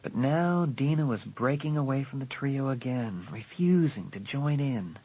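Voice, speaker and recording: A man's voice: medium pitch, expressive, measured pace, darkish tone, slightly rough. A man giving a dramatic, scripted narration of an audiobook story.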